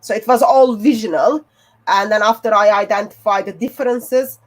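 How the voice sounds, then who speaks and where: A woman's voice: mid-range voice, moderate pace. A woman from Turkey with a Turkish accent, a native Turkish speaker, explaining her research method step by step. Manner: plain, step-by-step with fillers. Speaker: guest researcher.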